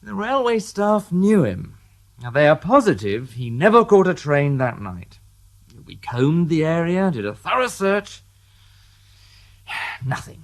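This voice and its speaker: A man's voice: fairly high pitch, big pitch swings, unhurried, long pauses. A dry-witted detective inspector in a radio play. He speaks with understated wryness, his intonation rising and falling a good deal. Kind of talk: radio drama acting.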